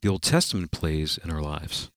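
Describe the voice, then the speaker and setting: A man's voice: extremely low pitch, normal speed. A man co-hosting a radio program, reading a scripted introduction in an announcer's role. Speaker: radio show co-host and announcer.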